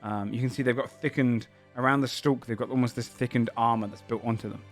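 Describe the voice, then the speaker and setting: A man's voice: mid-range voice, fairly even intonation, fast talker, soft background music. A man narrating a tabletop game as game master, describing the scene in a searching, descriptive way.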